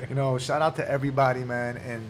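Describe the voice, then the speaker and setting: Animated New guy chatting. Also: mid-range voice, fast, a little hiss or hum. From New York City. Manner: animated, loose street slang. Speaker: podcast co-host. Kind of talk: casual chat.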